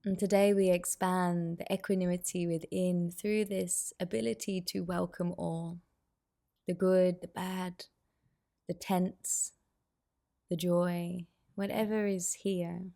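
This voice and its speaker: A woman guiding a meditation as a teacher, giving soothing, unhurried instructions with pauses. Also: on the low side, little pitch movement, gravelly, dark tone. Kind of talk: guided meditation.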